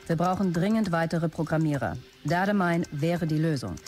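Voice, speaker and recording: A woman's voice: low-pitched, animated, normal speed, a little mellow, faint music behind. A woman playing a demanding chief operating officer in a radio play, clipped, businesslike and commanding. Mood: urgent. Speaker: actor in an audio drama. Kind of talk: radio play dialogue, dictated office messages.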